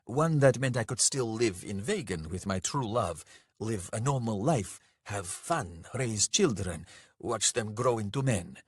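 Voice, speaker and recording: A man's voice: on the low side, animated, unhurried, husky, dry recording, studio quality. A man voicing an earnest, plaintive, lovesick young man in a story. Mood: earnest, pleading.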